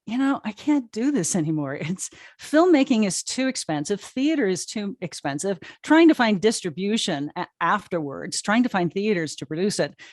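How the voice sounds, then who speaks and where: A woman in her sixties talks casually as a podcast guest. Her voice is medium in pitch, with a wry, storytelling way of speaking. The sound is dry, with little room in it. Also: big pitch swings, moderate pace, hardly any pauses, a little mellow, no echo. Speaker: podcast guest, audio drama producer. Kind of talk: casual conversational interview answers.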